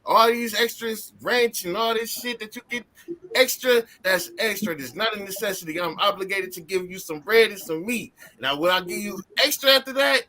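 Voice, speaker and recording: A man's voice: high-pitched, moderate pace, pushed and bright, a little hiss or hum. A man in his thirties talking casually on a live stream, emphatic and preachy, ending lines with "man". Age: 30s. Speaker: guest.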